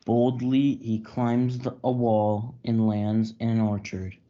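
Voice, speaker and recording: A man's voice: mid-range voice, level tone, slow pace, warm tone. A young man reading scripted narration for a student reader's theatre play. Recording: dull lo-fi audio.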